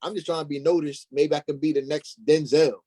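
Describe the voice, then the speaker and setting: A man's voice: on the high side, steady tone, brisk, dark tone. A middle-aged man being interviewed as an actor, telling personal stories in an informal, slangy way. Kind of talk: casual personal storytelling.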